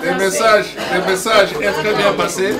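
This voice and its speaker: A man from Congo moderating a public meeting, brisk and given to repeating his phrases. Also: sits high, level tone. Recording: distant mic, crowd behind.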